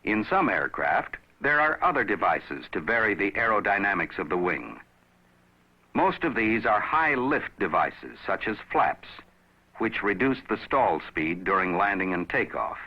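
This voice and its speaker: Animated male narrator, dull old film sound. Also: lowish voice, slightly rough. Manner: measured, instructive, addressing the viewer. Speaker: narrator of a pilot training film. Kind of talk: scripted educational film narration. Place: recorded voice-over.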